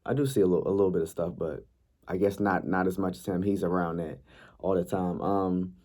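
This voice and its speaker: A young man vlogging in a laid-back, chatty way, sounding a bit congested and raspy from a night of yelling. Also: low voice, fairly even intonation, fairly quick. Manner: laid-back, chatty, frequent ums and likes. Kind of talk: casual vlog talk, reading posts aloud.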